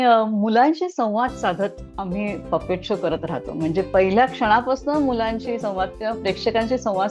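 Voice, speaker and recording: संथ बोलणारी मध्यमवयीन मराठी स्त्री. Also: female voice, medium pitch, dull audio. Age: middle-aged. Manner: reflective, many hesitations, laughs softly. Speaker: puppeteer. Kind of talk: casual interview answers.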